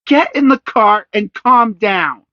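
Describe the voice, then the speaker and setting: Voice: medium pitch, lively intonation, a little slow, no echo, lo-fi sound, pitched above the performer's own voice, natural voice. A storybook reader voicing a strict mother who talks firmly and scolds her son, in a slightly raised voice. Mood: scolding. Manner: firm and stern. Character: firm, strict mother of two.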